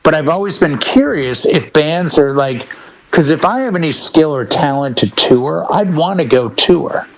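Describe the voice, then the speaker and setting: A man co-hosting a casual talk show, telling stories in an animated way and acting out bits of conversation. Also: fairly high pitch, moderate pace, low-quality recording. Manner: animated storytelling, acting out imagined phone calls. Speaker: podcast co-host, working DJ. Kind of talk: casual chat, storytelling.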